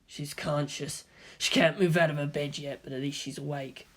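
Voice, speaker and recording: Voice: mid-range voice, steady tone, normal speed, pitched below the performer's own voice, close to an everyday voice. A man voicing a brooding, resentful teenage schoolboy in an audiobook, with blunt, subdued grumbling. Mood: sullen, bitter. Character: brooding, resentful tough schoolboy, worried older brother.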